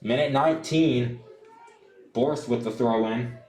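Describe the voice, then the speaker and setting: A young adult man doing live soccer play-by-play for radio, describing the action in an animated way. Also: mid-range voice, slow, roomy sound. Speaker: play-by-play sportscaster.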